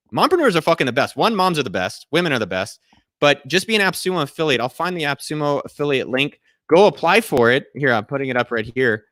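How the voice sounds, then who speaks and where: A man in his thirties hosting a casual live Q&A from a small room, giving a profane, energetic pep talk to his viewers. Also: fairly high pitch, no room sound, clean studio sound. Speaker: host answering viewer questions. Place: small indoor room at home.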